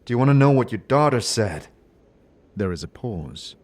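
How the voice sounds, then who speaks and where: A man performing scripted narration and dialogue in an audio drama, in a measured, deliberate manner. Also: medium pitch, sing-song, darkish tone.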